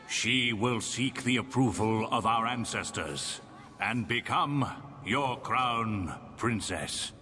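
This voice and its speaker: A stately emperor, older and solemn, proclaims slowly with sweeping intonation in a long hall reverb. The delivery is grand and ceremonious, as in a fantasy game. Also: male voice, mid-range voice, bright, forceful tone. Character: stately, solemn male emperor addressing his people. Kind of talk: fantasy video game acting.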